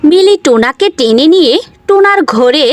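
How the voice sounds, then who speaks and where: A woman's voice: high voice, animated, normal speed, no echo, soft background music. A woman narrating a scripted fairy-tale cartoon as a storyteller.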